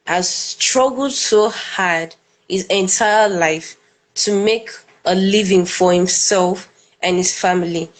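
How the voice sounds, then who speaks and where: A woman's voice: mid-range voice, poor audio. A woman asking questions carefully as a guest on a live stream.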